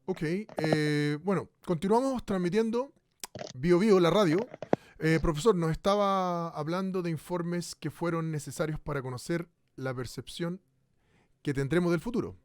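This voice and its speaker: A man's voice: fairly high pitch, moderate pace, background noise, close to an everyday voice. A man playing a radio host in a crisis broadcast, talking with many fillers. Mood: calm. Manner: hesitant, full of fillers. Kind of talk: radio drama acting a live talk show.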